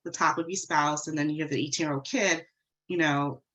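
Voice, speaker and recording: A woman's voice: low-pitched, fairly even intonation, fairly quick, fairly bright tone, rough recording. A woman attorney with a cold, sounding congested, explaining legal matters conversationally while walking through slides in a webinar.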